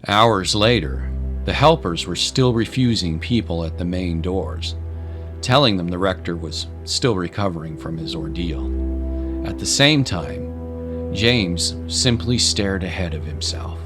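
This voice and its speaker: A man narrating a scripted dramatic story in a solemn, deliberate way. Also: low voice, lively intonation. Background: clear music.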